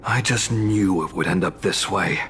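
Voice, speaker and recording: A man playing a cool, cynical young retainer to a general in a video game, with a dry, controlled, quietly threatening delivery. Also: low voice, unhurried. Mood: weary, cold. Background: game music.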